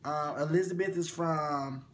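A young man co-hosting a casual chat show, sassy and animated, his voice swinging up high, recorded in a small bedroom. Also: a little slow, a little hiss or hum. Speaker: co-host.

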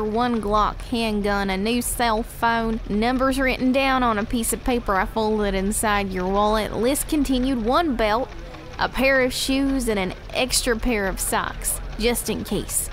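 A woman's voice: fairly high pitch, unhurried, natural voice. A woman playing a chirpy, chatty prison officer in an audio drama, with a folksy, quippy manner. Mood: cheerful, brisk. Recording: music under the voice.

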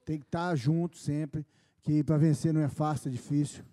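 A middle-aged man with a Brazilian Portuguese accent hosts a country-music program on a studio set built like a rustic bar. He talks into a handheld mic in a folksy, exclaiming manner and sends greetings to viewers. His voice sits high, and a long reverb trails off the studio hall. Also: steady tone, moderate pace, mellow. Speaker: TV show host. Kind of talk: casual studio chat and shout-outs.

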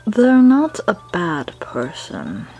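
A gloomy comic character played by a woman speaks very slowly and low, barely above a murmur. The delivery is melancholy and soft, with music underneath. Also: wildly swooping pitch, creaky, exaggerated voice, poor audio. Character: gloomy, soft-spoken top-hatted character sighing "alas". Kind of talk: amateur comic dub.

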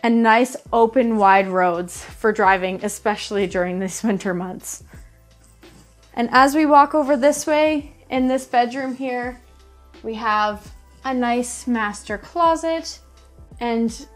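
A woman's voice: a little high, slow. A woman in her thirties hosting a walk-through house tour, describing each room in an upbeat presenter manner inside an empty show home. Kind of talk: friendly real estate walkthrough. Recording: faint background music under voice.

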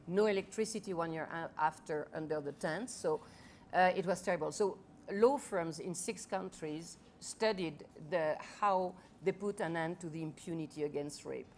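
French lady, 50s, kinda low voice, earnest panel talk, stumbles and repeats a lot. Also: slight background noise. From France. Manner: earnest, restarts and repeats words often. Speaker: foundation head on a panel. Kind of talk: panel talk to a live audience. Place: large festival tent with audience.

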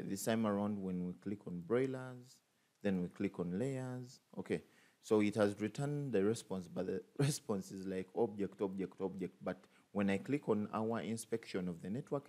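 A man's voice: fairly low pitch, dark tone. A man teaching a coding tutorial over a screen recording, thinking aloud with many fillers and restarts. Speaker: programming tutor.

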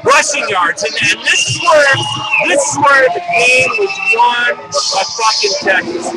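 A high-voiced man gives casual post-game sports commentary as the host of a live webcam stream. He speaks bluntly and colloquially, as a fan would, with music clearly audible behind him. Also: huge pitch swings, measured pace, low-quality recording.

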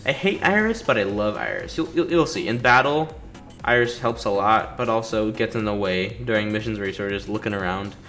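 A man's voice: on the low side, brisk, fairly bright tone. A man giving casual let's play commentary as a gaming video host, griping with impatient sarcasm. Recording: faint game music behind.

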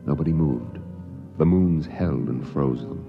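A deep-voiced man narrates a 1950s radio drama, solemn and deliberate, with a literary delivery. Prominent music plays behind him on a dull vintage recording.

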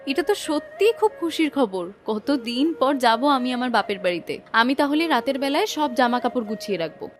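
Woman doing a fond bossy bengali wife for a cartoon, high voice, music behind. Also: expressive, moderate pace, few pauses. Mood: pleased. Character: affectionate, bossy young Bengali wife in fairy-tale cartoon. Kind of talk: cartoon voice acting, domestic dialogue.

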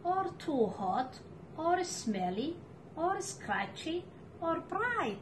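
A middle-aged woman reading a picture book aloud to children, with deliberate, expressive phrasing and pauses. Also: extremely high pitch, noisy background. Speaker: storytime reader for children. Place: living room at home, indoors.

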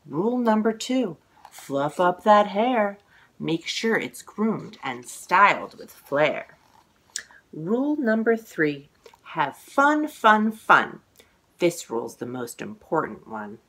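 A woman in her forties tells a picture-book story to young children at home. Her pitch swoops widely and her pace is unhurried. She exclaims and stretches key words with expressive delight in the telling. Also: low-quality recording. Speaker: children's author reading own picture book aloud. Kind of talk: animated children's read-aloud storytelling. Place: living room at home, indoors.

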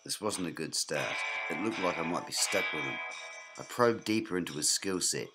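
Deep dry New Zealand detective narrator. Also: male voice, animated, moderate pace. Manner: dry, hard-boiled detective delivery. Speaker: narrator and lead comic actor. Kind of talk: deadpan comic noir narration. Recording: music playing behind voice. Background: music.

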